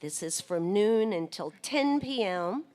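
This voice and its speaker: Woman, radio host, unhurried delivery, hesitant, lots of ums. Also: mid-range voice, animated, darkish tone. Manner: hesitant, searching with many ums. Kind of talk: live radio interview.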